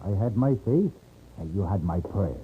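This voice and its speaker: A man playing a gentle, easily flustered mission priest in a 1950s radio western. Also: sits low, expressive, normal speed, husky, exaggerated voice. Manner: fussy, hesitant, blessing. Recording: noisy, tinny old radio recording.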